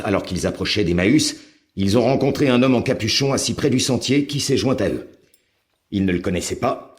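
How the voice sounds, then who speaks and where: An actor playing a dutiful Roman aide in a French audio drama, reporting in a formal, orderly way. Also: male voice, fairly low pitch, natural voice.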